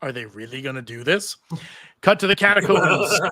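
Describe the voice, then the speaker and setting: A man's voice: on the high side, expressive, moderate pace, pushed and bright, dry recording, clean studio sound. A man narrating stage directions in a live table read of a TV script.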